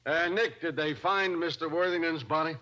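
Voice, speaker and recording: Bright-voiced inquisitive sidekick. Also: male voice, fairly high pitch, normal speed, soft background music. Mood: curious. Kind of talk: 1940s radio crime drama acting. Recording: dull vintage 1940s radio recording.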